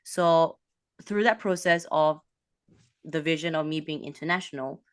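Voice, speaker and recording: A woman from a Malaysian background, a business coach, talking in an animated way with quick bursts and restarts. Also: sits low, clean tone, no echo, studio quality. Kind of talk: casual interview talk.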